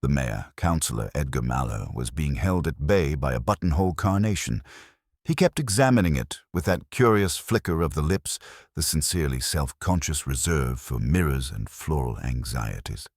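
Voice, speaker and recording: A man narrating a period comic mystery from a script in a wry, measured, literary manner. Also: a bass voice, animated, darkish tone, breathy edge, dry recording, clean studio sound. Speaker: narrator of an audio mystery story.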